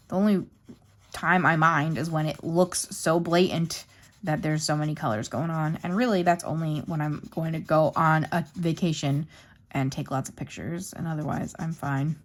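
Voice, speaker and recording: A young woman chats to her viewers while she colors, her voice sitting a little low and turning slightly hoarse. She rambles easily from one thought to the next in a casual, unscripted way. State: losing her voice. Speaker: craft YouTuber. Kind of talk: casual chatty craft vlog.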